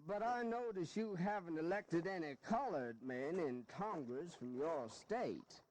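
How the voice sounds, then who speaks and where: A man's voice: on the high side, expressive, a little slow, a little mellow. An actor playing a wily, genteel statesman in a historical audio drama, drawling and folksy. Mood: defiant. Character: genteel, wily Southern statesman defending slavery, male.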